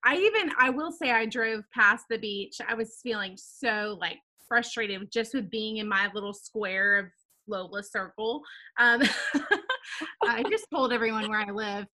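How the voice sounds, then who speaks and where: A woman, hosting a ministry podcast in a casual chat, laughing often and filling pauses with "um" and "like.". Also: fairly high pitch, sharp tone. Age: 30s. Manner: bubbly, laughs often, fillers like "um" and "like". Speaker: podcast host, founder of a girls' ministry. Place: home, talking over a video call.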